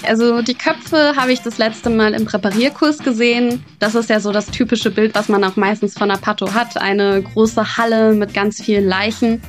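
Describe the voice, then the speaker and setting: A woman's voice: a little high, fairly even intonation, normal speed, hardly any pauses, faint music behind. A woman, a podcast guest, casually telling a personal anecdote.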